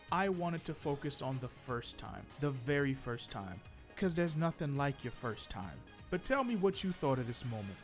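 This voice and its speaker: A man hosting a comic book video, reading scripted commentary in a conversational, opinionated way with joking asides. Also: medium pitch. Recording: music behind the voice. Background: background music.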